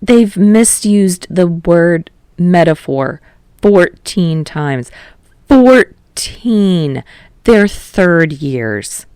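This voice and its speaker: A woman's voice: on the low side, lively intonation, very slow, darkish tone, clear, resonant tone, dry recording. A woman voicing a worn-out schoolteacher mother, groaning and exasperated, in an audiobook. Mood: indignant. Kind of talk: audiobook character voice.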